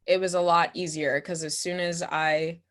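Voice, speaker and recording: A woman's voice: fairly low pitch, flat delivery, normal speed. A young woman chatting casually and candidly as she answers questions, giggly and self-deprecating. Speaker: actor and playwright answering questions. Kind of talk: casual conversational Q&A.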